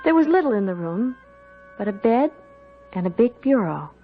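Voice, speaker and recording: A woman's voice: on the high side, big pitch swings, normal speed, soft background music. An actress playing an earnest, guilt-ridden young servant girl in old-time radio drama, with a sensitive, dramatic delivery. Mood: haunted, solemn. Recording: muffled old radio broadcast.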